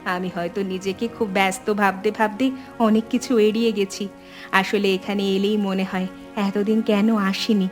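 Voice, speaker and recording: A woman playing a sensible married elder sister and mother in an animated family drama, composed and reflective. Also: medium pitch, normal speed, soft background music, lightly acted. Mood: regretful.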